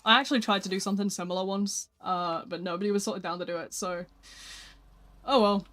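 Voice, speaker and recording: A gaming streamer chatting casually during a live stream, answering viewer messages in a flat speaking voice. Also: medium pitch, fast, slight background noise.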